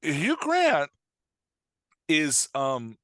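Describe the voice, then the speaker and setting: A man's voice: fairly high pitch, huge pitch swings, very slow, pushed and bright, no echo, studio quality. A man speaking as a guest writing coach on a podcast, explaining things in an informal, emphatic way with quoted examples. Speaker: guest author and dialogue coach.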